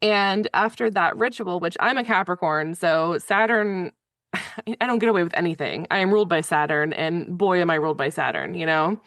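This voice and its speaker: A woman's voice: fairly low pitch, normal speed, a bit bright, dry recording, studio quality. A woman hosting a podcast, talking enthusiastically and chattily with her guest. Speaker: podcast host. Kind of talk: casual conversational interview.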